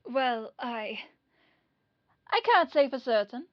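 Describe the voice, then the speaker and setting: A woman's voice: high voice, very animated, takes it slowly, lo-fi sound, full character voice. A woman playing a clingy rich yandere in an audio roleplay, fluttery and defensive as she feigns innocence.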